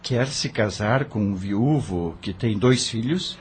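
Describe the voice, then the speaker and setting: A man's voice: on the low side, slow, a little hiss or hum. An actor playing an earnest, resolute widowed father in an audio drama, speaking formally. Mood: earnest, tender.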